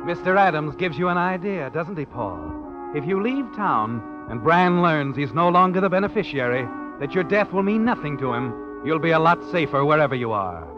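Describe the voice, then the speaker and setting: An actor playing a knowing, taunting narrator in old radio suspense style, insinuating and ominous. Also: male voice, fairly high pitch, big pitch swings, moderate pace. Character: knowing, taunting male narrator haunting the hero. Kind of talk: 1940s radio suspense narration in second person. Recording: dull vintage radio recording. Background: dramatic music.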